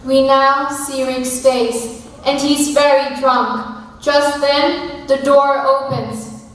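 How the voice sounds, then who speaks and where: Echoing hall, female narrator, high voice, scripted stage narration, unhurried. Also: little pitch movement. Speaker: play narrator. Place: large hall at a school stage event. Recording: echoey hall from far off, faint noise.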